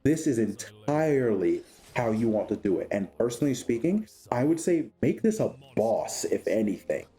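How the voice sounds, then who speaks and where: A man's voice: medium pitch, sing-song, normal speed, few pauses, mellow, husky. A man narrating a casual tabletop game guide from notes, offhand and sweary, tripping over hard words. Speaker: host of a tabletop game character build guide.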